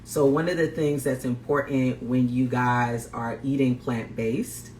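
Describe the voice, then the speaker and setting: Upbeat male chef hosting a live cooking demo, mid-pitched voice, roomy recording. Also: steady tone, unhurried, darkish tone. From Guyana. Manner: upbeat, greets viewers, repeats phrases. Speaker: plant-based chef and health coach. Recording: roomy echo, faint background noise.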